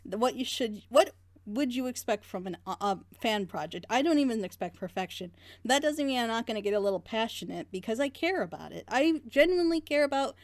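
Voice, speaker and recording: A woman's voice: a little high, expressive, fairly quick, dry recording. A woman in a casual group reaction chat, talking earnestly and passionately in long, rambling stretches. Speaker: reaction group member leading the discussion.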